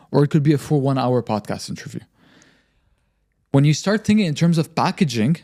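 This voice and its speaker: A man's voice: mid-range voice, a little mellow. A young man hosting a podcast, giving confident advice in a conversational explanatory way. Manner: confident, explanatory, frequent emphatic repetition. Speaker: podcast host and marketing agency founder.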